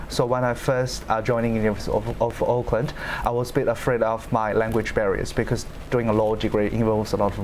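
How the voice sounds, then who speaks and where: A man's voice: medium pitch, slight background noise. Earnest young man from China. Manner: earnest, steady, with small grammar slips. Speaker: law student panelist. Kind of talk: panel discussion answers.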